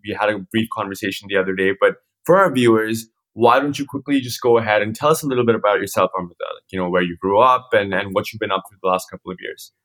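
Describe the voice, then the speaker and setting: Low-pitched young man hosting a podcast. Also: fairly bright tone, poor audio. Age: 20s. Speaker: podcast co-host. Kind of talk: conversational podcast interviewing.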